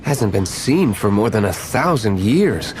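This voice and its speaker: A man's voice: on the low side, sing-song, unhurried, darkish tone, a little hiss or hum, rough recording. A man playing a brooding, aloof young nobleman vigilante in an anime-style video game, curt and reserved. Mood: guarded.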